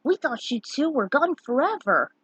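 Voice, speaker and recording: A woman's voice: sits high, sing-song, normal speed, a bit bright, no room sound, pitched above the performer's own voice. A woman voicing a loyal robotic cat companion in a story. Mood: relieved.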